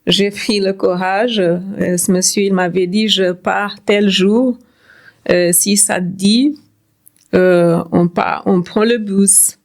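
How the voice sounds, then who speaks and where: A woman's voice: mid-range voice, steady tone, slow, darkish tone. A middle-aged woman from Cape Verde whose first language is Creole gives a personal testimony as a podcast guest, repeating words and phrases for emphasis.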